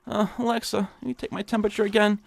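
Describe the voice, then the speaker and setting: An actor playing a nervous, hapless hypochondriac in an audio drama, fretful and stammering. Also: male voice, high-pitched, flat delivery, quick pace, gravelly. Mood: self-pitying, whiny. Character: nervous, whiny nebbish hypochondriac man, eager and hapless. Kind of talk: audio drama acting in old-time radio suspense style.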